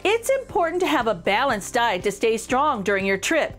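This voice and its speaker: A woman reading a picture book aloud for children in an expressive, encouraging way, addressing young listeners directly with clear emphasis. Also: a little high, normal speed. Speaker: children's storytime reader.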